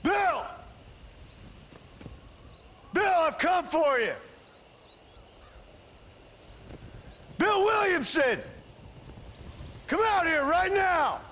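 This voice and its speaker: An actor playing an earnest, weary former outlaw in a Western video game, plain-spoken and polite, with an American accent. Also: male voice, very high voice, lively intonation, extremely slow, pauses often, pushed and bright, long reverb tail, a little hiss or hum, exaggerated voice. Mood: shouting challenge. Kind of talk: dramatic video game cutscene acting.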